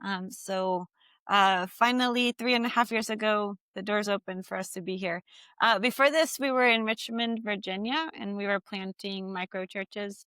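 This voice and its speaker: A woman from Costa Rica chats as a podcast guest, her talk full of little fillers. Her voice comes through smooth and clear, with a dry, close sound. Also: medium pitch, fairly even intonation, normal speed, bright, forceful tone, dry recording. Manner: chatty, many fillers. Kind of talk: casual conversation.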